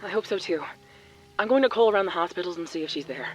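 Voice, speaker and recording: A woman's voice: lowish voice, expressive, fast, soft background music, lightly acted, rough recording. An actress playing an anxious, hesitant woman in an audio drama, speaking in a natural everyday way. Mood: worried. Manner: worried, hesitant. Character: anxious, polite woman searching for someone.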